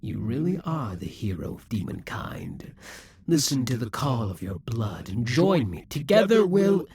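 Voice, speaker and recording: A man's voice: mid-range voice, very animated, measured pace, darkish tone, slight background noise. A man voicing a proud, possessive male demon king who taunts and schemes, sometimes dropping to a whisper. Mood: seductive menace. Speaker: voice actor in an audio roleplay. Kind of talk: audio roleplay acting.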